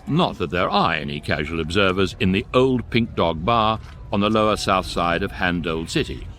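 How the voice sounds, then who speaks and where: Measured British sci-fi comedy narrator: lowish voice, deadpan, varied intonation. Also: male voice, a little hiss or hum. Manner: deadpan, precise. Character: dry, measured male guidebook narrator of space comedy. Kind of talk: scripted narration, radio comedy.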